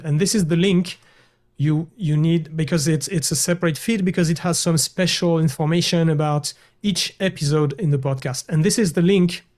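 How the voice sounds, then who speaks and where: A man, a guest developer, walking through a screen-share software demo over a video call, hesitant with many fillers and restarts. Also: fairly high pitch.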